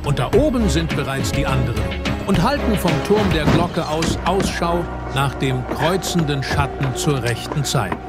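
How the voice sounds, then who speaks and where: A man's voice: a little high, expressive, moderate pace. A man reading scripted narration for an adventure audio drama. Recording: narration over loud film music.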